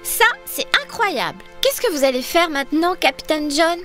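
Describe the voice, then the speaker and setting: A woman's voice: high voice, animated, normal speed, a bit bright, soft background music. A woman voicing a brave, cheerful young girl adventurer in a cartoon dub, upbeat and encouraging. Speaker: dubbing actress.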